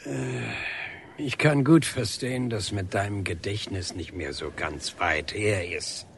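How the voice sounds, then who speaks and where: A man acting in a radio drama as an aggrieved older painter, husky, deliberate and insistent, with grunting hesitations. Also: lowish voice, a little hiss or hum. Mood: resolute. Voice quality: husky, rough. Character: aggrieved, defiant older painter confronting a policeman.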